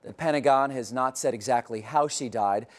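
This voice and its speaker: A man's voice: medium pitch, moderate pace. A man with an American accent reading scripted news as an anchor.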